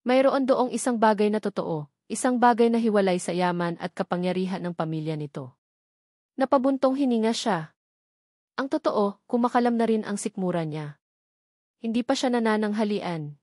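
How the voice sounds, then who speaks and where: A woman's voice: medium pitch, expressive, normal speed, darkish tone, dry recording. Woman narrating a love story, dry close sound.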